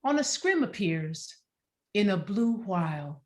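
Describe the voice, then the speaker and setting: Mid-pitched woman reading stage directions. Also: big pitch swings, slow, darkish tone. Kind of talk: scripted narration at a staged reading.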